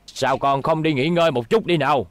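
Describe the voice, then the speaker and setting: A man's voice: a little high, fairly quick, dry recording, lightly acted. A man voicing a proud, stubborn old station chief and father in a dubbed cartoon, with a firm, weighty delivery. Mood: stubborn, reassuring. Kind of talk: animated series dub acting.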